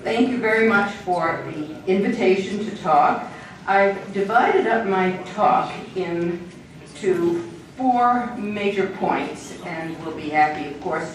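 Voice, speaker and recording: An older woman giving a measured, explanatory public talk as an invited speaker. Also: low-pitched. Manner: measured, explanatory, with small laughs. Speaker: invited speaker on education standards. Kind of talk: public lecture. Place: echoey hall. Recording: echoey hall, distant mic, faint noise.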